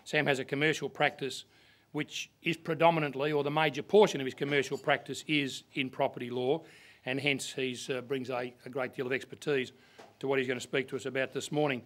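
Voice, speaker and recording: A man's voice: medium pitch, flat delivery, fairly quick, fairly bright tone. An older man with an Australian accent, a clerk giving a practical, plain introduction at a podium. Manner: practical, plain-spoken, with fillers. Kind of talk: seminar introduction. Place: conference room. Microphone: podium microphone.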